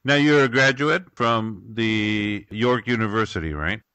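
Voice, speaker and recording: A man hosts an interview show with a bright, forceful voice pitched fairly low. He asks upbeat questions with small hesitations, and the sound is close and dry. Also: unhurried, clean tone, dry recording. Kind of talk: hosting an interview.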